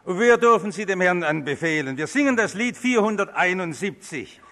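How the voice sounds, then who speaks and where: A man's voice: high voice, animated, normal speed, a bit bright. A man serving as pastor, leading a church service and solemnly announcing a hymn, recorded from a distance in a large church. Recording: long church reverb, distant mic.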